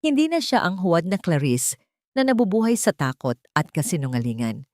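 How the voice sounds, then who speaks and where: A woman's voice: fairly low pitch, sing-song, fairly quick, darkish tone, dry recording, clean studio sound. A woman narrating an audio drama as dramatic scripted storytelling.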